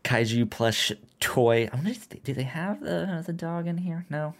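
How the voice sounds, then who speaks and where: A man co-hosting a casual film discussion, talking haltingly with repeated words and false starts. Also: a little high, animated. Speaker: podcast co-host.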